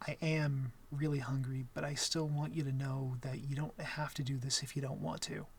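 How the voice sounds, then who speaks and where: A man playing a shy, awkward young vampire in an intimate ASMR roleplay, gentle and smitten, speaking softly and hesitantly with stammers and apologies. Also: mid-range voice, flat delivery, moderate pace, creaky, husky. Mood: nervous, earnest.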